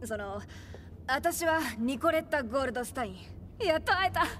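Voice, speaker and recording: A woman playing a brash, starstruck young gunsmith in a game, stammering and gushing in rough speech. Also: high voice, animated, moderate pace, fairly bright tone, slight background noise. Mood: starstruck, flustered. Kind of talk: video game cutscene acting.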